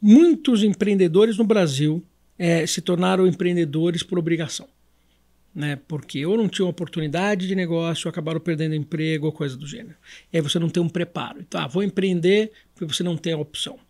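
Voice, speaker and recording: A Brazilian man with a notably high voice talks as a podcast guest. He explains things conversationally and didactically, often repeating phrases and answering his own questions. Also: fast talker, darkish tone. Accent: Brazilian Portuguese.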